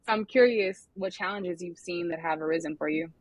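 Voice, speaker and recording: A woman's voice: on the low side, a little fast, dry recording. A woman hosting a podcast, asking her guest curious, conversational questions. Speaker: podcast host. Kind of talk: interview questions.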